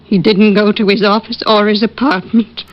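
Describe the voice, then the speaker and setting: A woman's voice: medium pitch, steady tone, moderate pace, lightly acted. A woman playing a polite, fretful elderly mother with an American accent in an old-time radio drama. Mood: worried. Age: older. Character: anxious, tearful, genteel elderly mother of missing son. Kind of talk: 1940s-50s radio drama acting. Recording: muffled vintage radio recording.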